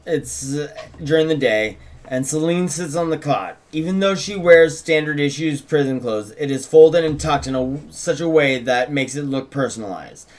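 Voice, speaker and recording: A man's voice: on the high side, moderate pace, hardly any pauses. A man co-hosting a script read, narrating stage directions and chatting casually about the writing. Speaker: co-writer and podcast host.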